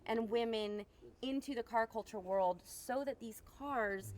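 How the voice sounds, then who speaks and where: A woman's voice: on the high side, a little slow, darkish tone. A woman speaking as a guest and small business owner, explaining her work in casual, unscripted promotional talk.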